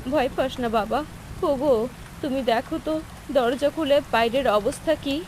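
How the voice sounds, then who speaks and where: A woman voicing a gentle, devout village mother in a cartoon, homely and reassuring, with a rural Bangladeshi accent. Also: high voice, a little slow, breathy edge. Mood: soothing.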